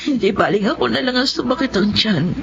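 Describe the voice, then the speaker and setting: A woman's voice: fairly high pitch, lively intonation, a little fast, gravelly, lo-fi sound, a little hiss or hum. A woman acting a poor, anxious, devoted mother in an emotional Filipino audio drama, with a Filipino accent and a pleading manner. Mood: pained, worried.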